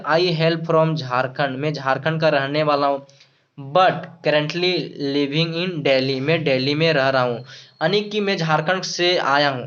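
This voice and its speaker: A man teaching English to Hindi speakers. He repeats himself, restarts words and slows right down when he says the English examples. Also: fairly high pitch, a bit bright, clear, resonant tone. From Jharkhand, India. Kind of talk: explaining English phrases in Hindi. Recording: dull lo-fi sound.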